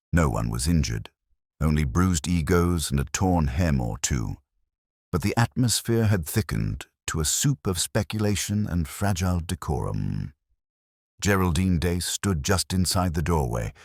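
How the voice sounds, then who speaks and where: An extremely low male voice narrates a scripted mystery in measured, literary fashion. The pitch moves in a lively way, and the sound is dry and close. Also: no room sound. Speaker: audiobook narrator.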